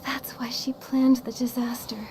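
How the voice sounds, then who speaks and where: High, gentle young heroine. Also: female voice, level tone, moderate pace. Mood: somber, careful. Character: kind, earnest young heroine recounting what she saw. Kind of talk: video game story acting. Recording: faint music behind the voice.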